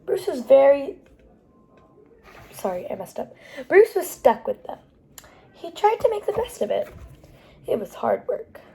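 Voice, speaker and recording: A woman reading picture books aloud to young children as a storytime host, animated and pausing to explain things to the listeners. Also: high-pitched, creaky, a little hiss or hum. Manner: animated, explains pictures and words as she goes.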